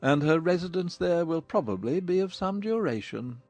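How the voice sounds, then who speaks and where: Guy acting dry sardonic dad, period drama audiobook, slightly higher male voice, close dry sound w music behind. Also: animated, moderate pace, darkish tone, no room sound. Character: dry, self-reproaching older English gentleman father.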